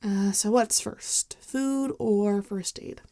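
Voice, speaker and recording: Woman acting a soft, slow-spoken apologetic vampire friend. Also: mid-range voice, no room sound, studio quality. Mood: caring, reassuring. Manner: hesitant, gentle, halting with soft sighs. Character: apologetic, caring young female vampire, a close friend. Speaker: ASMR voice actor. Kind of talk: ASMR roleplay acting, intimate and conversational.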